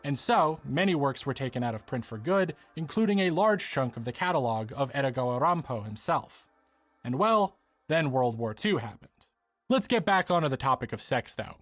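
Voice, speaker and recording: A man's voice: on the high side, fairly bright tone, no echo. A man giving scripted narration as the presenter of a video essay. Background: background music.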